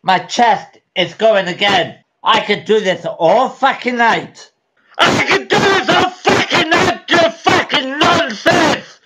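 A man answering a call, shouting and swearing furiously, close to tears. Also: very high-pitched, slow, rough recording. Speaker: call recipient. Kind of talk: angry phone rant. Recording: loud background noise.

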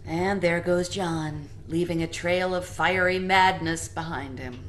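A woman's voice: on the low side, fairly even intonation, a little slow, lightly acted. Sardonic survivor woman acted, over noisy ambience. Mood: wry. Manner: sardonic, blunt. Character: cynical, prickly female survivor of the apocalypse. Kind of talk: audio drama acting. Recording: clear background ambient noise.

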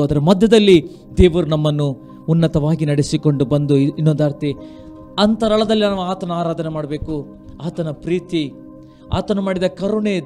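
A middle-aged man from India preaching and praying as a pastor in a fervent, exhorting way, building through repeated phrases into a handheld microphone. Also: fairly high pitch. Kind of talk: sermon and prayer in a church service. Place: dark studio stage with coloured lighting. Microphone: handheld microphone held at chest to mouth. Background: music.

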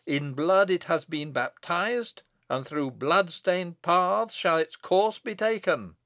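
A man's voice: high voice, animated, slow pace, fairly bright tone, no echo, heavily acted. A man voicing a stern, wrathful abbot in solemn, declamatory archaic speech for a storybook reading. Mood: wrathful, condemning. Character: stern, wrathful abbot pronouncing a prophetic curse.

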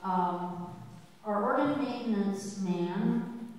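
Older female organist addressing a congregation: dry humor, halting ums, booming church echo. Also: lively intonation, darkish tone. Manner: chatty, wry, unhurried with many ums. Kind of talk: speech to a church audience. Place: large church sanctuary with balcony. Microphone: handheld mic. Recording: echoey church hall, distant camera.

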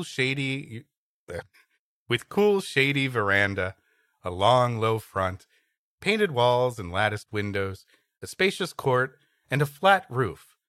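Male story podcaster, bright tone, dry close sound, comic asides. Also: mid-range voice, dry recording. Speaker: podcast co-host reading an old story.

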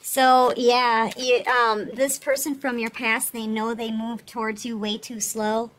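A woman's voice: fairly high pitch, little pitch movement, a little slow, a bit bright, poor audio. A woman giving a casual, reassuring tarot reading, speaking straight to the listener and often checking in. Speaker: tarot reader, host.

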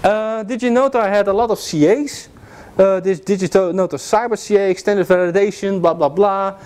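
Dutch man lecturing, rather high voice. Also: normal speed, darkish tone, clear, resonant tone. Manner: loose, joking, many fillers, walks and points at slides. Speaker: guest lecturer. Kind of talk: informal technical lecture. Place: classroom with projector. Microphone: far camera, no visible mic.

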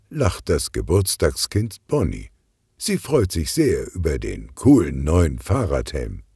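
A man reads a children's story in a low, mellow voice. His delivery is slow and expressive, carefully shaped for storytelling. The sound is close and dry. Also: slightly rough, dry recording. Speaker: narrator. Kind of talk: scripted children's audiobook narration.

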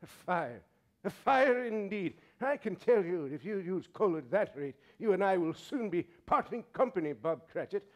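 A man's voice: high voice, expressive, moderate pace. A man playing a scornful, snappish old miser in a live staged radio drama, grumbling with contempt. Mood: irritable, barking. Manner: grumbling, contemptuous, with mocking laughs. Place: theatre stage, live performance.

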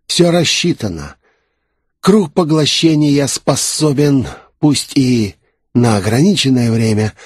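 A man's voice: mid-range voice, darkish tone, husky, no room sound, studio quality, voice pushed up. A man voicing an assured, composed naga necromancer who explains his schemes in an audiobook.

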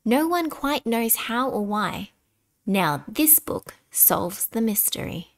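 A woman reading a children's picture book aloud in an expressive, deliberate way, dramatic on key words. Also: on the high side. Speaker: storybook reader.

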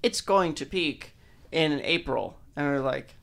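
A man's voice: a little high, animated, measured pace, creaky, a loud crowd. A man co-hosting a podcast in casual chat, dry and informative, often restarting his sentences. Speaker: podcast co-host.

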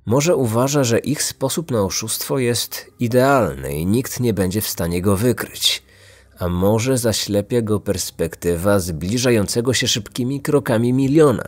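Low-voiced man narrating true crime, dry sound. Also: animated, unhurried, no room sound. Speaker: podcast host and narrator. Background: background music.

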